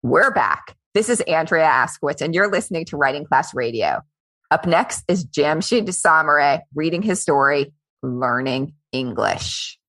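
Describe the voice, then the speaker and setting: A woman's voice: low-pitched, expressive, unhurried, a bit bright, no room sound. A woman hosting a podcast, introducing the show and a guest with a plain, dry delivery. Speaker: podcast host. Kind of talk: casual chat and show introductions.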